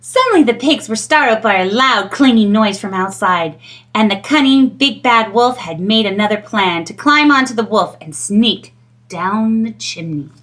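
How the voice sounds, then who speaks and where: A middle-aged woman reading a children's storybook aloud in an expressive storytelling style. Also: medium pitch, moderate pace.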